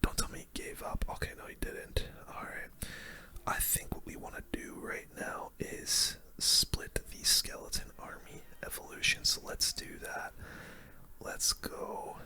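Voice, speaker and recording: Whispering ASMR gamer, bright and breathy. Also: a little slow. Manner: casual, slangy. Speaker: gaming video host. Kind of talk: whispered ASMR game commentary.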